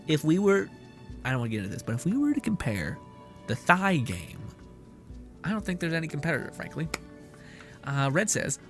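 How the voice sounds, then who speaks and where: Young guy streamer, caffeinated, lively up-and-down delivery, cussing, loud game music behind. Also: mid-range voice. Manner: rambling, joking, swears freely. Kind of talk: casual gameplay commentary.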